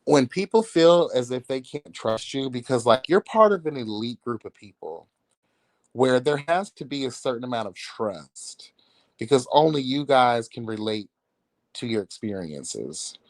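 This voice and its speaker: A slow-talking man hosts a casual gossip commentary in a very dry, close recording. His pitch sits in the middle for a man. He is sassy and catty, putting things bluntly in slangy, conversational language. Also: animated, darkish tone, no echo. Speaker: livestream commentary host.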